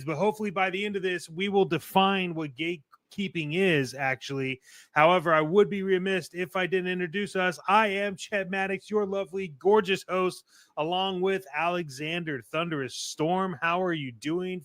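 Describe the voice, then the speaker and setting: A man with a fairly high voice hosts a show in casual, conversational talk. He is playful and given to mock-grand flourishes. The sound is dry, with very little room in it. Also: moderate pace, a bit bright, dry recording. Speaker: podcast host. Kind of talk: casual chat hosting a show.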